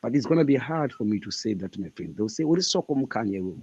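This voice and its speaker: A man's voice: mid-range voice, lively intonation, fast talker, darkish tone, breathy edge, dry recording, lo-fi sound. A man in his thirties from Zimbabwe, a guest author answering interview questions in an earnest, emphatic way and repeating his points. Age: 30s.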